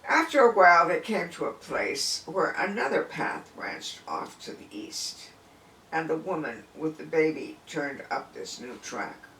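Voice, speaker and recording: A man's voice: on the high side, very animated, measured pace, sharp tone. Guy reading a folk tale, really swoopy voice, takes his time, roomy sound a bit far off. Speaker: storyteller reading aloud. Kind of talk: expressive folk tale read-aloud. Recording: distant, echoey room with faint noise.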